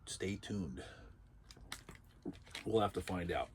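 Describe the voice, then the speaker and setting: Congested raspy man, low voice. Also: lively intonation, takes it slowly, slight background noise. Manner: rambling, chatty, dry asides. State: congested, under the weather. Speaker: vlog host. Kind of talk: casual unboxing chat.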